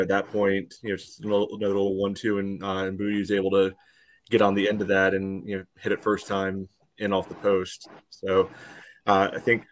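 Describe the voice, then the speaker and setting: A man breaking down a soccer match casually as a podcast panelist, in a halting way peppered with "you know". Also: lowish voice, fairly bright tone, slight background noise.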